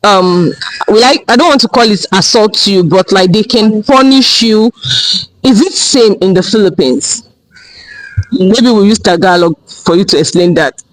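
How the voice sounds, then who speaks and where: A young man with a Nigerian accent interviewing a guest in a relaxed, chatty way, often saying "like.". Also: sits high, unhurried, low-quality recording. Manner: relaxed, chatty questions with frequent "like" fillers. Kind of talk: casual interview.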